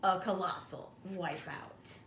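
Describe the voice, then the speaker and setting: A woman's voice: on the low side, fairly even intonation, slow pace. A middle-aged woman reading a picture book aloud to young children, expressively, like a teacher addressing her class. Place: living room at home, indoors. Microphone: camera or phone a short distance away. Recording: roomy home recording with faint noise.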